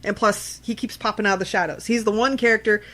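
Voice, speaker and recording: Female podcast host, conversational, emphatic, unfiltered and foul-mouthed, mid-pitched voice. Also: steady tone, fast, pushed and bright. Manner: salty, swearing, emphatic. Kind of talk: casual podcast chat.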